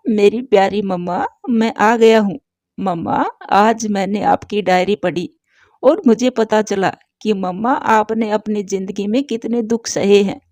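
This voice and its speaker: A woman's voice: mid-range voice, darkish tone, no echo, clean studio sound, pitched below the performer's own voice, natural voice. Woman voicing a pleading, remorseful cartoon son bird. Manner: pleading, sorrowful. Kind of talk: Hindi cartoon story dialogue.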